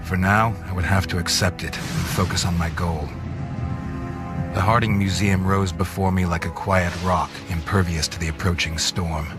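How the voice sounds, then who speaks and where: Man acting a very deep, brooding noir vampire. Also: normal speed, music in the background, close to an everyday voice. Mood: grim. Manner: terse, grim, deadpan. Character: brooding gravel-deep male vampire, hardboiled noir narrator. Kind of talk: scripted first-person noir game narration.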